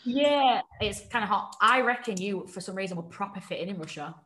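A woman's voice: mid-range voice, expressive, fairly quick, noisy background. A woman co-hosting a podcast, teasing and laughing in colloquial slang. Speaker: podcast co-host. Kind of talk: casual banter. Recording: roomy echo.

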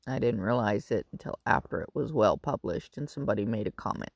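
Raspy-voiced woman in her fifties, rambling. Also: rumbling low, lively intonation, a little fast, no echo. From Northern California. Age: 50s. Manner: rambling, with frequent ums and self-corrections. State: raspy from wildfire smoke. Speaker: podcast host. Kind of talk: casual personal monologue.

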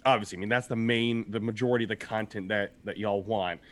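Young guy, voice a bit raspy from yelling, chatty rambling podcast cohost. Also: lowish voice, steady tone, fast. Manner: upbeat, rambling, laughs often. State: slightly hoarse from shouting. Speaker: podcast co-host. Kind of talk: casual podcast chat.

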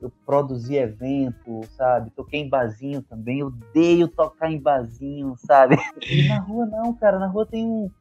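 A man's voice: medium pitch, measured pace, darkish tone. A Brazilian man with a Brazilian accent, interviewing a guest in an enthusiastic, effusive way. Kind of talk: casual interview. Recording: dull lo-fi sound with faint music.

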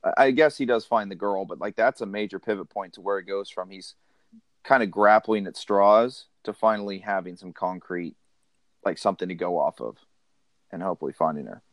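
A man hosting a casual podcast, steering the conversation in a relaxed way. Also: low-pitched, fairly quick, dry recording. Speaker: podcast host.

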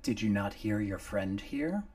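A man's voice: on the low side, lively intonation, unhurried, a little mellow, recorded from a distance. A man voicing a cool, terse female killer who speaks in a plain, clipped way.

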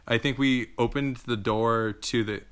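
A young man with a bright, forward voice chats loosely as host. He rambles, fills gaps with ums and likes, and laughs easily. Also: mid-range voice, steady tone, unhurried, clean tone. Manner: rambling, laughing often, many fillers. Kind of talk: casual chat.

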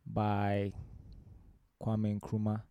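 A man's voice: lowish voice, completely flat delivery, very slow, clear, resonant tone, no room sound, muffled recording. A man with a Ghanaian accent, a musician telling anecdotes in a discursive way as an interview guest. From Ghana, born in Romania. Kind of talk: conversational interview storytelling.

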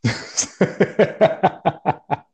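A man from Brazil co-hosts a football chat with a mellow, dark-toned voice. He talks in easy street slang and lays out his points calmly. The sound is dry and close, with no room echo. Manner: laid-back, slangy, measured. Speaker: podcast co-host.

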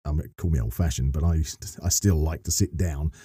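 A man's voice: extremely low pitch, a little fast, dark tone, slightly rough, no echo, studio quality. A middle-aged man speaking earnestly as a podcast guest, explaining his work as a voice actor and narrator in wordy, often rephrased sentences.

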